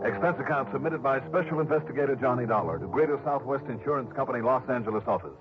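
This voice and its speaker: Male actor as wry freelance investigator, medium pitch, easygoing, muffled old radio, loud music behind. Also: lively intonation, quick pace, close to an everyday voice. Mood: wry narration. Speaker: insurance investigator and narrator. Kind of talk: 1960s radio acting.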